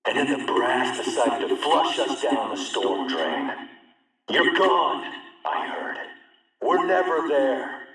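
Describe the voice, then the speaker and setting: Echoing, slow-talking military warlord. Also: male voice, mid-range voice, sing-song, a bit bright. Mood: grave, bitter. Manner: measured, commanding, folksy swagger with dry menace. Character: hardened male military warlord ruling a lawless district. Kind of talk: dramatic radio-broadcast address in a video game. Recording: distant, heavily echoing public broadcast.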